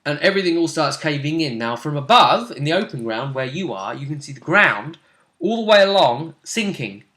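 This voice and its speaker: A man runs a tabletop role-play game, telling events back to his player. His voice is bright and forward, and he repeats phrases for emphasis. The recording has a clear room echo. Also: on the high side, sing-song, normal speed. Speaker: game master. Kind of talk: narrating and recapping a tabletop role-play session. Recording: roomy, echoey indoor recording.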